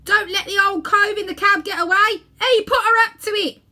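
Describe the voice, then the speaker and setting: Woman doing cheeky high-pitched street lads. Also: fairly even intonation, moderate pace, bright, forceful tone, exaggerated voice. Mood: gleeful, loud. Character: cheeky male London street onlookers, loud and gleeful.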